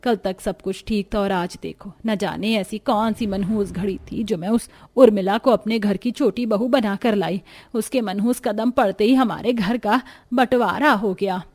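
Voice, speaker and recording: A woman's voice: medium pitch, moderate pace, darkish tone, natural voice. A woman voicing an aggrieved elderly mother-in-law in a cartoon story, lamenting and reproachful. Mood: sorrowful.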